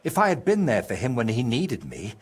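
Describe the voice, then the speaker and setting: An actor playing a troubled, guilt-ridden medieval painter in a video game, with a husky, halting and brooding delivery. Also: male voice, mid-range voice, wildly swooping pitch. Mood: regretful. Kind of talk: video game dramatic dialogue.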